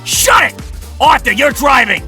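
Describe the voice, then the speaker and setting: An actor playing a brash, bossy, smooth-talking older male cop in a 1920s radio play. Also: very high-pitched, unhurried, music in the background. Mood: urgent, angry.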